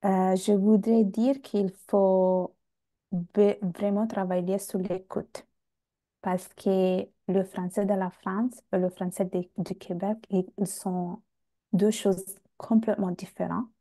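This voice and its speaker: A young woman whose first language is Italian, talking on a video call, speaking slowly and searching for words as she chats with the host. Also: mid-range voice, flat delivery, warm tone. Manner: slow, searching for words with restarts. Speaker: guest. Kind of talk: casual chat. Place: home room. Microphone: earphone mic on a video call.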